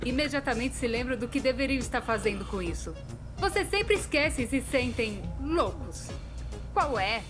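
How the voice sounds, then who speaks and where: A woman performs an excitable young female cartoon storyteller with a Brazilian accent. Her voice sits high and swings widely in pitch as she narrates with self-mocking, exaggerated expression. Music plays clearly behind her. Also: moderate pace, natural voice. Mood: amazed, animated. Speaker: voice actress in a fan dub. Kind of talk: scripted comedic narration of an animated storytime video.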